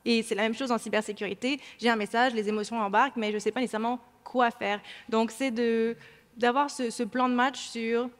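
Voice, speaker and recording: A woman's voice: on the high side, steady tone, fairly quick. A woman from France with a French accent, explaining cybersecurity training as a panelist at a conference, with many fillers and restarts. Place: large conference hall. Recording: hall reverb.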